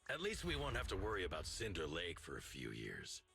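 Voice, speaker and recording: A proud biker gang leader in a game, low and heavily gravelled. He speaks with forceful, commanding bluntness and broad, dramatic swings, with faint music behind. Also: wildly swooping pitch, fairly quick, heavily acted. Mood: dismissive. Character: proud, stern, old-fashioned male biker gang boss. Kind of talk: dramatic video game voice acting.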